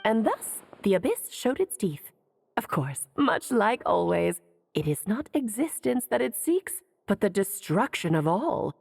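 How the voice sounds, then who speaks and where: A woman's voice: medium pitch, sing-song, a little slow, gravelly, a little mellow, slightly rough, no room sound, full character voice. An actress playing a worldly, playful sorceress mother in a video game, teasing and eloquent in an airy, theatrical way. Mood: dramatic explaining. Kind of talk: video game character acting.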